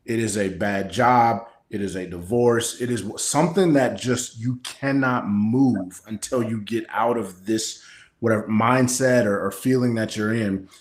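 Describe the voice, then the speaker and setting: A man's voice: lowish voice, unhurried. An American man, a coach being interviewed, explaining himself conversationally and in a straightforward way. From Kansas City, Missouri, United States. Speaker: guest, personal development coach. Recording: roomy, echoing sound.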